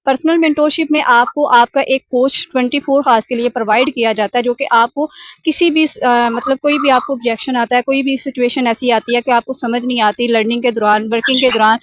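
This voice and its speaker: Female sales coach, higher-pitched voice, closely dry sound, explanatory and wordy call pitch. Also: fairly even intonation, fairly quick, no room sound. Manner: wordy, instructive, mixes in many English terms. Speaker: sales coach pitching an online training course.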